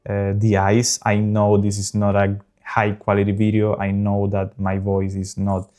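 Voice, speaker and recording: A man in his early thirties speaks earnestly in English with a South American Spanish accent, pausing often as he talks over his game. His voice sits fairly low and stays level, with little rise and fall. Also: monotone, dark tone. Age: early 30s. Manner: earnest, unpolished, frequent pauses. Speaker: gaming channel host. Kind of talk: casual talk over gameplay.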